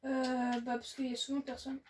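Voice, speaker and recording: Woman co-host, high-pitched, relaxed explainer. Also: normal speed, recorded from a distance, a little hiss or hum. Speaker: gaming channel co-host. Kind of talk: casual gameplay chat.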